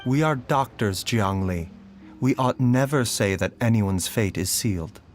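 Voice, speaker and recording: An actor playing a calm, secretive doctor in a video game, speaking in a measured, reassuring way. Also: male voice, lowish voice, a little hiss or hum. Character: calm, secretive male doctor, gentle and composed. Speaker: game character.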